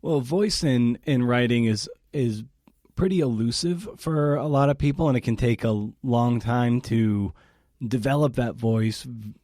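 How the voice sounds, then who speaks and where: A man hosting a podcast, asking long questions in a searching, halting way. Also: medium pitch, dark tone, no room sound, studio quality. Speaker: podcast host. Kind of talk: interview questions.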